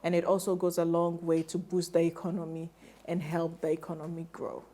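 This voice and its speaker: A woman's voice: fairly low pitch, monotone, a little fast, warm tone. A woman hosting a TV interview, affirming and chatty with her guest.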